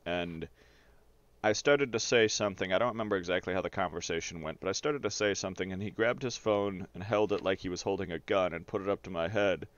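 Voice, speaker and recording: A man with a lowish voice tells personal anecdotes in a loose, rambling way, as if streaming a game to friends. His language is salty and informal. The audio is a little dull and band-limited, like voice chat. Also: quick pace, no room sound. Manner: rambling, profane, often chuckles while talking. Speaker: streamer telling personal stories while gaming. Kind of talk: casual anecdotal storytelling over gameplay.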